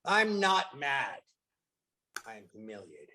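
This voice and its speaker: A man's voice: medium pitch, sing-song, takes it slowly, pushed and bright, heavily acted. A man playing a proud, possessive, threatening king in an online play reading. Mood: cold, humiliated.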